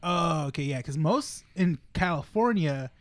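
A man co-hosting a podcast, jumping in with quick questions and short agreements. He speaks a little higher than most men, and it is recorded close and dry. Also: expressive, no room sound. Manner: quick asides, frequent backchannels. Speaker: podcast co-host. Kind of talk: casual group chat.

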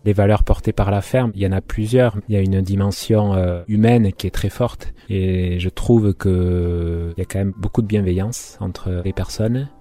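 A man in his thirties from Béarn, speaking in a deep, warm, relaxed voice. He talks about his work as a market gardener in an easygoing interview manner. His speech is informal, with frequent pauses to gather his thoughts. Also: soft background music. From Béarn, France. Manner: informal, thinks aloud with frequent hesitations.

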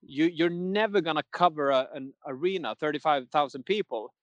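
A man's voice: fairly high pitch, normal speed, no room sound, lo-fi sound. Rambling man, dry sound. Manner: rambling, many restarts, laughs often. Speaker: metal singer, guest. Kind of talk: casual interview chat.

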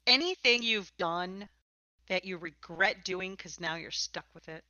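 A woman's voice: on the low side, unhurried, sharp tone, no echo. A woman hosting a podcast, chatting and interviewing in an informal, teasing, encouraging way. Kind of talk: casual conversational interview. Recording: slightly dull, lo-fi podcast audio.

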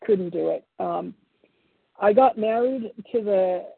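A woman in her seventies whose first language is Italian, sharing her story at a recovery meeting in a halting, reflective way with many pauses and restarts. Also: mid-range voice, creaky, breathy edge, no room sound. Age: 70s. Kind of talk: personal testimony at a recovery meeting. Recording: phone-line audio.

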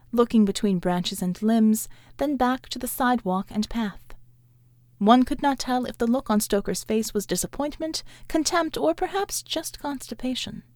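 Higher-voiced woman narrating fiction. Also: a little mellow, dry recording. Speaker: audiobook narrator. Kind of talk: scripted literary fiction narration.

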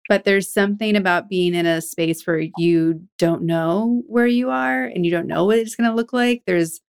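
A woman's voice: medium pitch, moderate pace, creaky, clean tone. A woman hosting a podcast, talking in a curious, chatty way and asking follow-up questions. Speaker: podcast host. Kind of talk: casual interview chat.

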